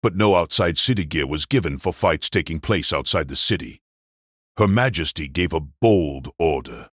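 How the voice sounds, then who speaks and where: A man's voice: rumbling low, animated, moderate pace, fairly bright tone, breathy edge, no room sound, studio quality, voice pushed down, full character voice. A man playing a confident, sardonic, battle-hungry young warrior in an audio drama, drawling and self-assured. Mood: cool, wry.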